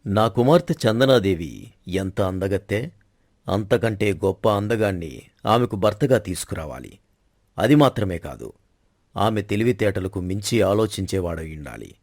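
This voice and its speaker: Man playing a proud, low-voiced king. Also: animated, moderate pace, a little mellow, no echo, clean studio sound. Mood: commanding, decisive. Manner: formal, stately, declarative. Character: proud, commanding middle-aged king, wry with his queen. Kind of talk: scripted Telugu moral-story dramatization.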